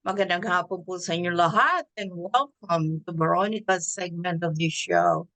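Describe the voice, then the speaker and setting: A woman hosting a community talk show interview, halting with many fillers. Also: fairly low pitch, over music. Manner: halting, many ums and uhs, chatty with laughs. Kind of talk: casual interview.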